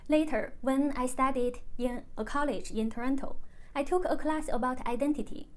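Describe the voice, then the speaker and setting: Woman, high voice, from China, first language Chinese, calm measured delivery, reflective vlogger monologue. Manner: calm, measured, carefully scripted.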